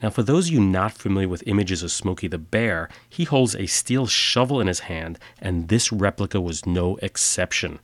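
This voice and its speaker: Deep-voiced man with a stuffy nose. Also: lively intonation, normal speed, hardly any pauses, no echo. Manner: plain matter-of-fact delivery with filler uhs in sign-off. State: nasal from a cold. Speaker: podcast host. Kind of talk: scripted storytelling narration.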